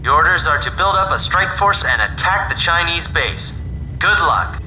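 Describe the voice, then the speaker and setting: An actor playing an authoritative military commander, serious and clipped, giving briefings over radio in a video game. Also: male voice, on the high side, lively intonation, normal speed, natural voice. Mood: confident. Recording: noisy, echoing military radio transmission.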